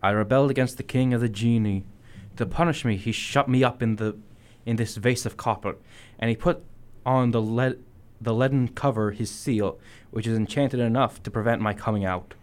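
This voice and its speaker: A young man reading the part of a haughty, menacing giant genie in a group storytelling, with a cold threatening delivery and a lower voice than usual. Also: fairly even intonation, moderate pace, clear, resonant tone, lightly acted. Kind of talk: student reading a story aloud as a role.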